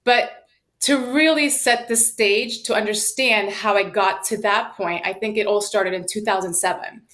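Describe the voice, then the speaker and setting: Woman, late thirties, interview guest, personal storytelling, animated and emphatic delivery, mid-range voice. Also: mid-range voice, moderate pace. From United States and Sweden. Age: late 30s. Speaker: podcast guest, sales professional.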